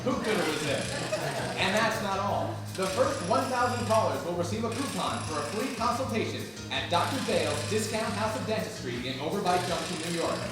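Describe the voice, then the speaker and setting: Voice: mid-range voice, expressive, a little fast, lightly acted. A performer playing a peppy, overblown announcer in a parody old-time radio advertisement, with salesy enthusiasm. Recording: echoey live hall, distant mic, music behind.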